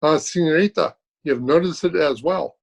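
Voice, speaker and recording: A man's voice: a little high, normal speed, gravelly. A man playing a courteous, gloomy taxi driver in an old-time radio drama. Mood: deadpan gloomy. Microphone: video call.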